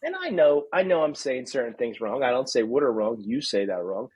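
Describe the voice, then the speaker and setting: Husky-voiced Philly man, wry and easygoing. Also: mid-range voice, big pitch swings, fairly quick, darkish tone, dry recording, highs cut off. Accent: Philadelphia. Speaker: podcast co-host. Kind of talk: casual chat.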